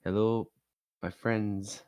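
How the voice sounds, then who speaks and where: A man's voice: on the low side, little pitch movement, takes it slowly, mellow, clear, resonant tone, no echo, studio quality. A man with a Quebec French accent, a native French speaker, talking casually as a podcast guest. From Quebec, Canada. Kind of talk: casual conversational talk.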